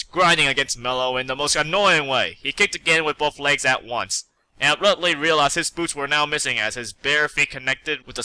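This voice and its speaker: A man's voice: on the high side, bright, forceful tone, clear, resonant tone, no room sound, rough recording. A man reading fan fiction aloud as a storyteller, with dramatic narration.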